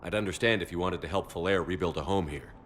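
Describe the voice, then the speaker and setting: An actor playing a firm, decisive military commander in a video game, speaking in a direct, terse way. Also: male voice, low voice, quick pace, fairly bright tone, slight background noise. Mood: steady. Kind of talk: dramatic video game acting.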